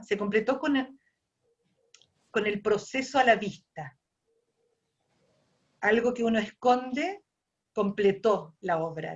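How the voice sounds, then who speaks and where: Argentine woman telling stories about her art, mid pitch, thinks out loud, kinda muffled audio. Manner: thinks aloud, repeats and restarts phrases. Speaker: ceramic artist guest. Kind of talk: reflective personal storytelling.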